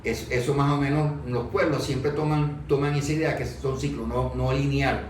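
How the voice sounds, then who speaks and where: A man giving explanatory historical and political commentary, haltingly repeating words as he searches for phrasing. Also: medium pitch, fairly even intonation. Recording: echoey room, distant microphone, background noise.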